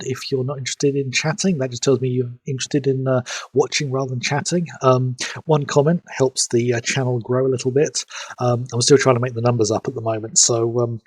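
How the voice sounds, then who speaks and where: A man's voice: mid-range voice, a little mellow, no echo. A man, sniffly from hay fever, chatting casually as he streams a game and recaps the story. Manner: rambling, fills pauses with "um". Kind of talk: casual live-stream commentary.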